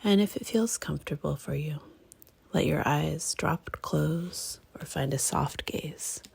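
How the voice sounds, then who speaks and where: A woman leading a guided meditation in a hushed, breathy, gentle and unhurried way, leaving long pauses between phrases. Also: deep voice, dry recording, in a whisper. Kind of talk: guided meditation, slow and soothing.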